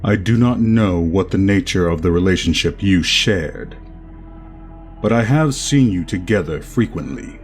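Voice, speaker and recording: An enigmatic masked doctor of few feelings, acted by a man for a horror audio drama. His voice is very low and he speaks very slowly, in formal, clinical phrasing. Faint music plays behind him. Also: animated. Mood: restrained sympathy. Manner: formal, measured, emotionless phrasing.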